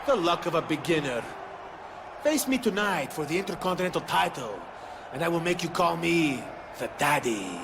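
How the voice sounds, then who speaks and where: A man's voice: a little high, sing-song, moderate pace. A man playing a boastful, preening villain wrestler in a video game cutscene, taunting and bragging. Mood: boastful challenge. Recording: clearly noisy background ambience.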